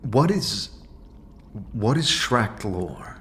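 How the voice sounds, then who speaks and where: A man playing a hesitant, apologetic wandering adventurer in a fantasy audio drama, with halting, stammered questions. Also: medium pitch, sing-song, fairly bright tone, slight background noise, full character voice. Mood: tentative, curious.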